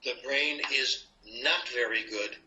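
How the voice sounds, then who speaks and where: Playful older American professor, bright voice. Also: male voice, medium pitch, a little slow, a little hiss or hum. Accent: American English. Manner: playful, lively teaching tone with asides. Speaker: linguistics professor, interview guest. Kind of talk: explaining to an interviewer. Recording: dull lo-fi recording.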